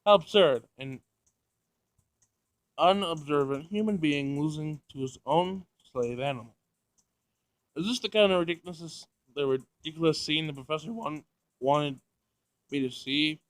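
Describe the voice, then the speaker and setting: A man's voice: a little high, unhurried, pauses often, dry recording, close to an everyday voice, low-quality recording. A man voicing an emotionless, condescending robot girl in a visual novel, with formal, detached phrasing. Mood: detached disdain.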